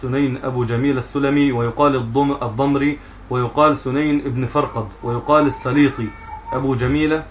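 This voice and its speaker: A man's voice: mid-range voice, fairly even intonation, fast talker, a little hiss or hum. A man working as a student assistant, reading out scholarly notes and discussing them in a religious lesson.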